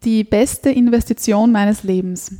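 A woman's voice: medium pitch, unhurried, mellow. A woman putting questions to a guest as a podcast interviewer in a conversational way.

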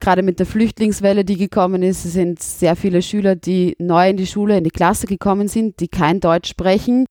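A woman's voice: medium pitch, steady tone, moderate pace, hardly any pauses, mellow, dry recording, clean studio sound. A woman teacher from Burgenland with an Austrian accent, answering interview questions in a thoughtful, candid way.

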